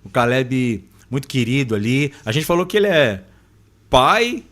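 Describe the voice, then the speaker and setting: A man's voice: medium pitch, very animated, normal speed, fairly bright tone. A man with a Brazilian accent hosting a casual podcast interview in an informal, affirming way. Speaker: podcast host.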